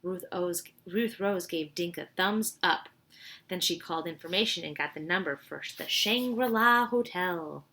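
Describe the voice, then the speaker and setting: A woman's voice: fairly low pitch, normal speed, bright, forceful tone. A woman reading a children's storybook aloud expressively, with informal asides to her listeners.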